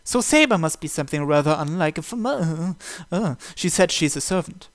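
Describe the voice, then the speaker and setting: Man reading a visual novel aloud, higher-pitched voice. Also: lively intonation, a little fast. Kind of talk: narration read aloud from a game script.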